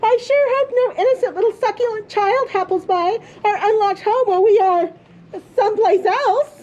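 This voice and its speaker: A woman's voice: very high-pitched, normal speed, a little mellow, lo-fi sound, voice pushed up. A woman voicing a sly mother dinosaur in a storybook, speaking with arch, emphatic mock innocence.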